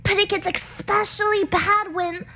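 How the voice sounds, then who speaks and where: A woman's voice: extremely high pitch, fairly even intonation, a little slow, creaky, pushed and bright, heavily acted. A woman voicing a feisty, defensive teenage schoolgirl in an anime visual novel fan dub, huffy, flustered and bossy. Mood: excited, giggly.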